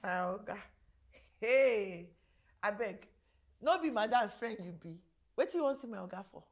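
A woman's voice: mid-range voice, animated, normal speed, lightly acted. A woman playing a cheeky, suspicious Nigerian housemaid who talks in Pidgin, in a screen drama.

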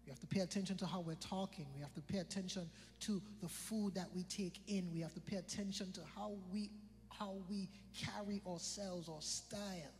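High-voiced island preacher in a reverberant hall. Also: male voice, steady tone, moderate pace, a little mellow. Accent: British Virgin Islands. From British Virgin Islands. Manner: conversational, repetitive, addresses listeners by name. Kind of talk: sermon.

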